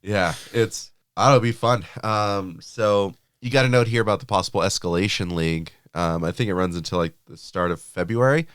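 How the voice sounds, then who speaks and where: A man's voice: on the low side, normal speed, few pauses, a bit bright, clean tone, dry recording, studio quality. A man co-hosting a podcast, chatting casually about tabletop wargaming with frequent fillers, a little sniffly from allergies. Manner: chatty, filler-heavy, laughs easily. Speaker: podcast co-host.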